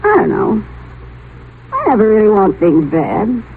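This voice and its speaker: Noisy old broadcast, tough languid young girlfriend, teasing, drawling, very animated intonation, radio drama. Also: medium pitch, measured pace, phone-quality sound. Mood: adoring, coy. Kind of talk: 1950 radio drama acting.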